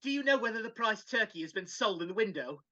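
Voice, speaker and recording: A woman's voice: mid-range voice, expressive, quick pace, sharp tone. A woman playing an old reformed miser in an impassioned, theatrical live dramatic reading over a video call. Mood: overjoyed. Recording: dull video call audio.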